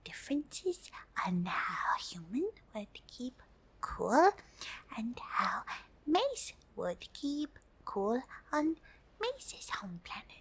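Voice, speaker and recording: A woman voicing a friendly, curious alien in a whispered ASMR roleplay, halting and politely formal, in a soft breathy voice. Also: fairly high pitch, big pitch swings, heavily acted. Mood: gentle, curious. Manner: halting, hesitant, politely formal, third-person self-reference. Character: friendly curious pink female alien host, shy and hesitant.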